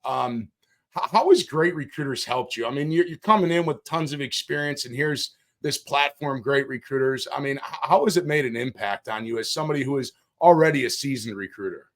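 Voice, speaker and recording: A man's voice: mid-range voice, a little fast. A man hosting a casual interview, upbeat and encouraging as he asks questions. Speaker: interview host.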